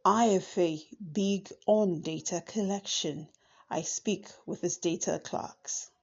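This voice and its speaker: A woman narrating a news voice-over in a deliberate manner. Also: fairly low pitch, creaky, darkish tone. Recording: dull lo-fi sound.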